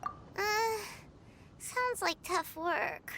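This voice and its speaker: A woman voicing a small, cheeky floating girl companion in a video game, talking in a high, lively way. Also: extremely slow, a little hiss or hum, full character voice. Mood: puzzled.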